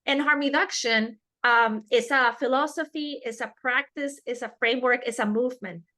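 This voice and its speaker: A woman's voice: on the high side, moderate pace, bright, forceful tone. A woman with a Spanish accent presenting a professional webinar, earnest and repeating key words for emphasis. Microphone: video call.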